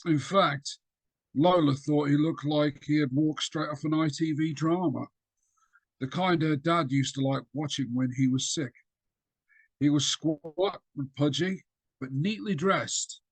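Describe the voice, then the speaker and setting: Man, author reading fiction aloud, husky voice, dry close sound, video call. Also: a little high, dry recording, rough recording. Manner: steady storytelling read with small slips.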